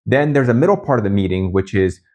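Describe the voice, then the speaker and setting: Low-voiced man telling his story candidly. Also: animated, darkish tone. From raised in Japan by Brazilian parents. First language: Japanese. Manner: reflective, candid, with frequent restarts and pauses. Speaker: channel host. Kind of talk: personal storytelling to camera.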